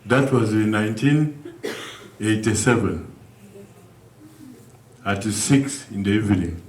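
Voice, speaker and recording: Older man, low voice, earnest halting speech, echoey hall, distant mic. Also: darkish tone. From Uganda. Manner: earnest, halting storytelling with restarts. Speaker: guest speaker. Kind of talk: community speech. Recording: echoey room from far off.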